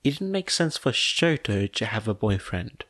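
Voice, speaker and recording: A man reading fiction aloud as an audiobook narrator, soft and measured, with dramatic pauses between phrases. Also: lowish voice, sing-song, no echo, studio quality.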